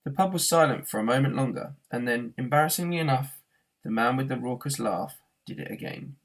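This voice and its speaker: Husky, creaky male storyteller. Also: mid-range voice, brisk, low-quality recording. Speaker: teacher reading a novel aloud. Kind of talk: expressive storybook narration.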